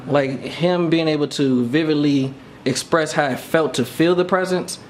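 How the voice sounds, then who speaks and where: Guy with a higher voice just thinking out loud on a podcast, says like a lot, bit of noise behind him. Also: moderate pace. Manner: informal, thinking aloud, frequent "like". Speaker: podcast co-host. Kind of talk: casual reflective podcast chat.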